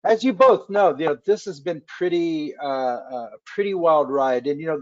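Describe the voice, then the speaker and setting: Halting man hosting a webcast. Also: fairly high pitch. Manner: halting, many fillers and restarts. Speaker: webcast host. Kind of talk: casual interview chat. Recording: dull lo-fi webcast audio.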